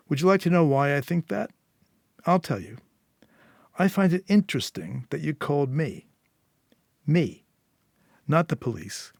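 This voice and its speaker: A man voicing a menacing, coolly confident underworld fixer in a story, deliberate, commanding and sardonic. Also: a little high, creaky, dry recording, studio quality, pitched above the performer's own voice, exaggerated voice.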